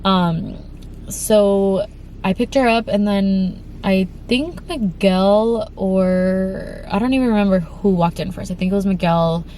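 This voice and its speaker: A woman's voice: medium pitch, slow, warm tone, slight background noise. Congested young woman telling a frightening story. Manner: rambling with many fillers and restarts. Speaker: vlogger. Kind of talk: emotional storytime vlog.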